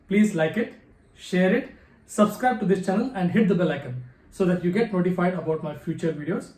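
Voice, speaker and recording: A man from India, reciting slowly and deliberately to camera in a calm, measured way. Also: high-pitched. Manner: calm, measured, pausing between words. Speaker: memory athlete vlogger. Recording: echoey room.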